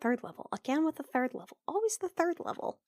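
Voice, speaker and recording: A woman's voice: high voice, very animated, fast, a little mellow, no room sound, studio quality, pitched above the performer's own voice. A woman playing a self-doubting, plain-spoken young princess and healer in a tabletop game, casual, hesitant and wry. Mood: frustrated.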